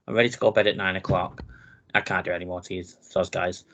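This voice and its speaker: Deep-voiced man chatting casually. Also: fast talker. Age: 30s. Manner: relaxed, chatty, laughs easily. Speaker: podcast co-host. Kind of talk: casual wrestling chat.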